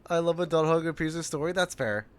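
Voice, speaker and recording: A man's voice: a little high, quick pace, clear, resonant tone. A man livestreaming a game, reacting casually and reading text aloud in informal, self-interrupting chatter. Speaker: game streamer. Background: game music.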